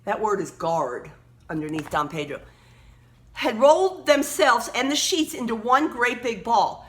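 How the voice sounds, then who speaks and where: A woman reading a children's story aloud expressively, emphatically pausing to point out words and pictures. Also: a little high, expressive.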